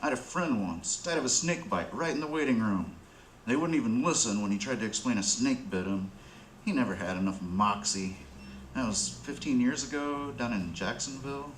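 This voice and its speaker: A man playing a world-weary, soft-hearted drug addict in a radio play, talking in halting slang. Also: medium pitch, lively intonation, lightly acted. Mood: bitter, resigned. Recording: roomy, faint background noise.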